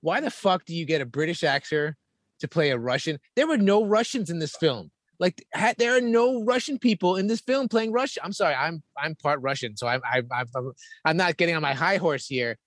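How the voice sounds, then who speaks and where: A man's voice: a little high, expressive, fairly quick, a bit bright, dry recording. Podcast host, man, emphatic and casual, steers the talk. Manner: emphatic, repeats himself, profane. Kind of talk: casual movie chat.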